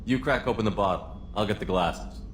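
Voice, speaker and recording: A man playing a steady, stoic military commander in a video game, speaking in an even, straightforward way. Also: on the low side, a little fast, natural voice. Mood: friendly, sincere. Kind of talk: video game cutscene acting. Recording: roomy echo, faint ambient noise.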